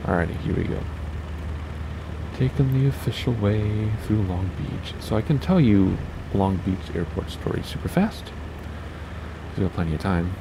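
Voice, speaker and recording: A man with a raspy voice, casually talking through a flight simulator session and thinking aloud as he plays. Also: deep voice, animated, moderate pace, background noise. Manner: chatty, thinking aloud while playing. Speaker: flight sim streamer. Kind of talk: casual gameplay commentary.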